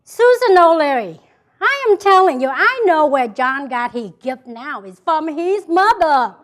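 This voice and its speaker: A woman's voice: high voice, sing-song, a little slow. A woman from South Vietnam with a Vietnamese accent, giving an emphatic motivational speech to a live audience and repeating key phrases. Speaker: motivational speaker. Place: event hall before an audience.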